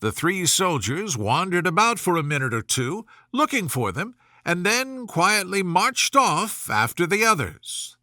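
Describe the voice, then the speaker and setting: Bright, animated male storyteller. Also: high voice, unhurried, hardly any pauses, no room sound, clean studio sound. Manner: expressive storyteller. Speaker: story narrator. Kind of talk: scripted children's bedtime story narration.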